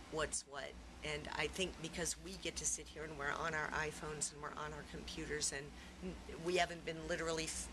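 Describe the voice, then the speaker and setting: A middle-aged woman giving a reflective, personal interview, speaking haltingly as she searches for her words. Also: low voice. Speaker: theatre performer being interviewed. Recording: clearly noisy room recording.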